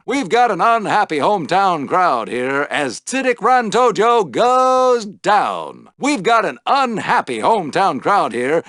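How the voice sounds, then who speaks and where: An excitable alien podrace announcer for a video game. The voice is high and swings wildly up and down with showy, theatrical emphasis. Each call lands as punchy, dramatic play-by-play in a dry, close sound. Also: male voice, dry recording, heavily acted. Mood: dismayed. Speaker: sports announcer. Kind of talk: excited play-by-play race commentary.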